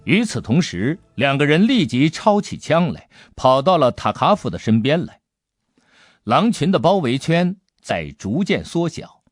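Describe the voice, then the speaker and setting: 一位男性有声小说演播者，用富有悬念和表现力的方式讲述故事。. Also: male voice, a little high, no echo, studio quality. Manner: suspenseful, expressive delivery. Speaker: audiobook narrator. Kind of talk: dramatic scripted storytelling.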